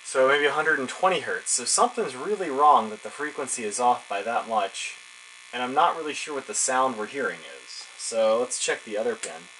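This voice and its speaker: A man's voice: mid-range voice, lively intonation, moderate pace, bright, forceful tone, low-quality recording. Casual man thinking aloud, some background noise. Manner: informal, musing to himself while working. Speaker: electronics hobbyist narrating his build. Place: cluttered indoor electronics workbench.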